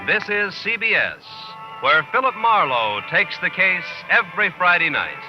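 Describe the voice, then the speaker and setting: Male American network announcer, 1950s delivery, fairly high and animated, muffled vintage recording. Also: normal speed, over music. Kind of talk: 1950s radio announcing, scripted. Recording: dull vintage radio recording.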